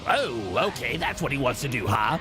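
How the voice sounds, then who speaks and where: A man's voice: medium pitch, very animated, voice pushed down, lightly acted. A man voicing a mocking, gloating alien parasite villain, taunting theatrically as he comments on a fighting game. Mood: scornful, menacing. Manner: taunting, theatrical, drawn-out, cackling. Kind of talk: in-character live game commentary and trash talk. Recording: game music loud behind the voice.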